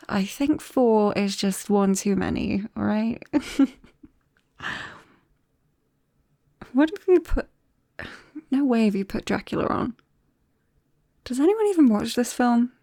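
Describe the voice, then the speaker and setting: Casting: a woman playing a nervous young British vampire friend, slow, mellow and soft-spoken, for close-mic ASMR roleplay. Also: fairly high pitch, lively intonation, vocal fry, no echo, heavily acted. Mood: playfully teasing. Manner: hesitant, soft-spoken, breaking into whispers and small nervous laughs. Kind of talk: intimate ASMR roleplay acting. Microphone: close-up microphone, dry.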